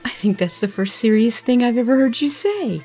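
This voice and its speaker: A woman playing an easygoing, affectionate adventurer in an amateur audio drama, speaking casually and with gentle playfulness. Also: fairly high pitch, lively intonation, moderate pace, soft background music, natural voice. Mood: frank, caring.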